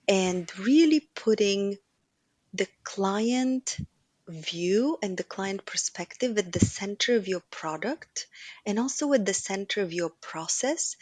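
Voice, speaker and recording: Bulgarian-accented woman, measured and dry-sounding. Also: medium pitch, darkish tone, no echo. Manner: measured, structured explanations. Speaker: guest, operations executive. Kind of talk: podcast interview.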